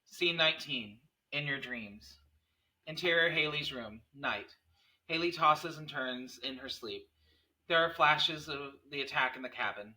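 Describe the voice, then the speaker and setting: A man reading stage directions as narrator of a screenplay table read, in short, dramatic, deliberate phrases. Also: fairly high pitch, pushed and bright, rough recording.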